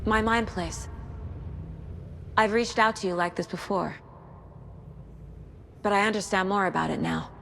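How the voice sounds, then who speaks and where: An actress playing a level-headed woman investigator in a horror mystery game, measured and questioning as she reasons things through. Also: medium pitch, fairly bright tone. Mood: resolute. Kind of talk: video game voice acting, mixing dialogue and inner monologue. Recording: game audio with clear background noise.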